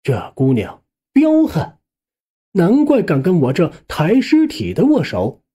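Male storyteller for audiobook narration, very animated intonation, dark tone, measured pace. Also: high voice, breathy edge. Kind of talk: scripted first-person story narration.

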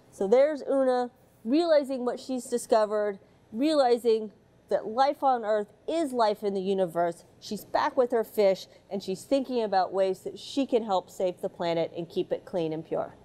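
A woman's voice: on the high side, warm tone. A middle-aged woman from the United States, an astronaut, reading a picture book aloud to children aboard a space station, with chatty asides between pages. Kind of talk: storybook read-aloud for children. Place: space station module, indoors.

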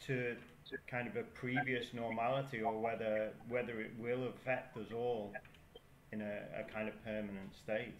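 Dude on a video call, thinking out loud, echoey room with noise and clicks. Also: male voice, fairly low pitch, flat delivery, normal speed. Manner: thoughtful, repeats words as he thinks. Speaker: panelist, festival team member. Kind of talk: video call panel discussion.

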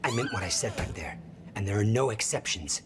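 An actor playing an intense, insistent scientist in a cinematic video game, explaining urgently and often dropping to a hush. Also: male voice, lowish voice, animated, a little fast, noisy background, lightly acted. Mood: pleading. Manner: urgent, explanatory, breaking into hushed whispers. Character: intense, insistent male scientist, older brother of the hero.

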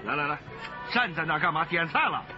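An actor playing an excitable male gangster sidekick in a radio drama, loud and fussy, eagerly repeating himself. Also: on the high side, fast, over music, close to an everyday voice. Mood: fawning, eager. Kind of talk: Chinese radio drama acting. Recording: dull band-limited radio recording.

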